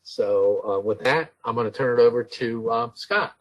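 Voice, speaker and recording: Male host, mid pitch, loose and halting, reads out announcements and a speaker intro. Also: lo-fi sound. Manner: halting, with many fillers and restarts. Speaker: webinar host.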